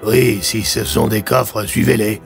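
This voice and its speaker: A man playing a serious police commissioner who speaks in a formal, measured manner. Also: on the low side, fairly even intonation, vocal fry, over music. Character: serious, gravelly police commissioner giving orders.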